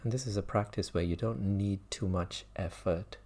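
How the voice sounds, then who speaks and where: A man leading a guided mindfulness meditation as a teacher, speaking gently with long pauses between phrases. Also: low voice.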